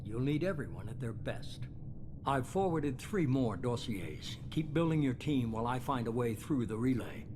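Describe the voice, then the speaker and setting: A man playing a composed, calculating mastermind with a measured, authoritative manner in a video game drama. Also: mid-range voice, very animated, noisy background, close to an everyday voice. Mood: businesslike, cautioning. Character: calculating, composed male mastermind of shadowy organization.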